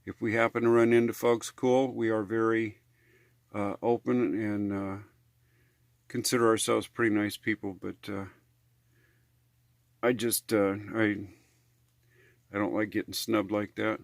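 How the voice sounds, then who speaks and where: Unhurried American man, rambling and folksy. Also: lowish voice, flat delivery, vocal fry, no echo, clean studio sound. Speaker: RV living vlogger. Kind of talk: casual how-to vlog talk.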